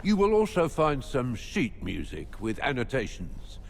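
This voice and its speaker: Fussy, pompous rector, swooping pitch. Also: male voice, huge pitch swings, unhurried, gravelly, in a large hall, a little hiss or hum, exaggerated voice. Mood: pompous, helpful. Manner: pompous, hesitant stammering, old-fashioned formal phrasing. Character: fussy, overworked, pompous male music academy rector. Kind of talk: video game dialogue acting.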